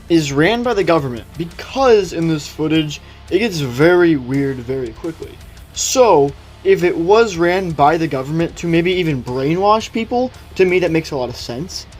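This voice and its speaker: A man's voice: a little high, a little mellow. A man hosting a video, giving opinionated, conversational commentary in a casual explainer style. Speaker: video host. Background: background music.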